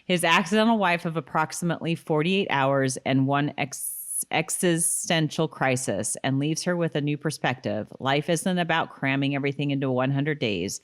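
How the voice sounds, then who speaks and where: A woman's voice: low voice, normal speed. A woman co-hosting a podcast in casual recap chat, acting out quotes and teasing. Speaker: podcast co-host.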